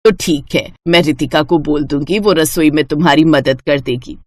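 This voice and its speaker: A woman voicing an older mother-in-law in a family storybook tale, measured and approving, with homely authority. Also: low-pitched, a little mellow, dry recording, studio quality, pitched below the performer's own voice. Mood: instructing, pleased. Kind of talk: acted Hindi family storybook dialogue.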